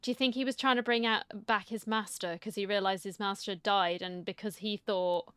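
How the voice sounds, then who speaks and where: A woman's voice: medium pitch, steady tone, normal speed, fairly bright tone, clear, resonant tone, no room sound, studio quality. A woman giving casual, reactive let's-play commentary, chatty and given to muttered asides. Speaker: game streamer. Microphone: close mic, dry. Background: faint game music.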